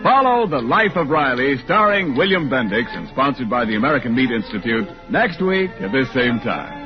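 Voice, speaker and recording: A man's voice: mid-range voice, big pitch swings, normal speed. A man reading scripted sponsor announcements in 1940s radio announcer style. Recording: muffled old radio recording, faint music.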